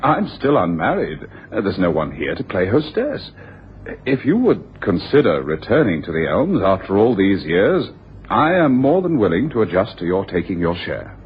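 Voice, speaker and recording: An actor playing a genial, conciliatory gentleman in a radio drama, speaking politely and a little haltingly. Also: low-pitched, sing-song. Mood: conciliatory, pleading. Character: genial, conciliatory gentleman heir, eager to make peace. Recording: dull, slightly noisy radio recording.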